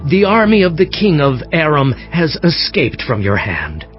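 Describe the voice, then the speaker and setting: A man's voice: fairly high pitch, wildly swooping pitch, normal speed. A man playing a stern, indignant prophet delivering an emphatic rebuke in a dramatized scripture audiobook. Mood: stern, impassioned. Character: stern male seer rebuking a king, indignant. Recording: dull sound with faint music.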